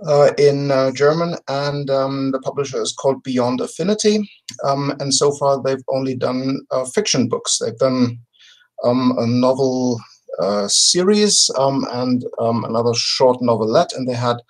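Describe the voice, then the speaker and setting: A middle-aged man explaining and telling his story casually as a podcast guest, in halting, measured phrases from a home video call. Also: mid-range voice, rough recording. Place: home room with bookshelves. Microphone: video call, webcam distance.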